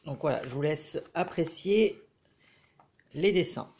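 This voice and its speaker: A man's voice: a little high, expressive, darkish tone. Man with a head cold, hesitant. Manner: hesitant, frequent filler pauses. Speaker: book reviewer. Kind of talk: casual book flip-through review.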